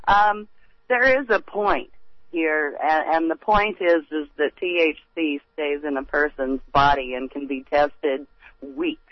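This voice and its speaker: A woman's voice: low-pitched, measured pace, no room sound, lo-fi sound. A woman calling in to a radio talk show, earnest as she makes her case as a medicinal grower.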